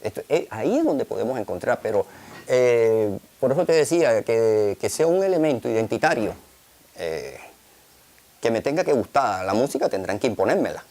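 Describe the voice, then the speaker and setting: A man's voice: mid-range voice, very animated, normal speed, warm tone. A man from Colombia's Caribbean coast with a coastal accent, talking in a documentary interview, full of fillers and tag questions. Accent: Colombian Caribbean Spanish.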